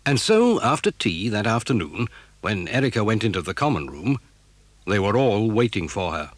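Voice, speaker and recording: A man's voice: on the low side, sing-song, normal speed, no echo. A man reading scripted narration for a children's audio drama, telling the story.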